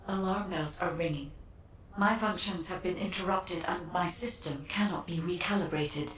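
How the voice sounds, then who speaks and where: An actress voicing a grave, earnest artificial intelligence guide in a video game, formal and measured. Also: female voice, close to an everyday voice. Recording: echoey, distant, faint rumbling noise.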